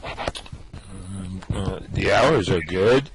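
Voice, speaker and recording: A man playing a dim, bullying alien guard in a comic sci-fi radio play, plodding and hesitant between shouted stock phrases. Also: sits low, extremely slow, a little hiss or hum, poor audio. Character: dim, bullying male alien guard, bored yet dutiful.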